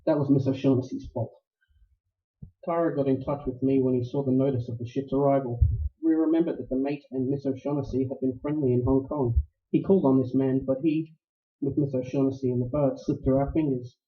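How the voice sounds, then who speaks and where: An actor in a noir radio play, playing a suave, courtly older criminal mastermind who speaks with florid, deliberate politeness. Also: male voice, medium pitch, steady tone, lightly acted. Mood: smooth menace. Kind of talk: staged radio play acting, 1940s noir. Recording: roomy, dull live stage recording.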